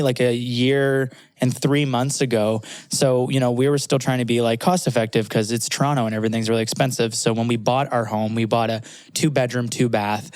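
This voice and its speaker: A man's voice: mid-range voice, no room sound. Laid-back man podcast co-host. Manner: relaxed, laughs easily, conversational. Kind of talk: casual chat. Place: small podcast booth in a new office.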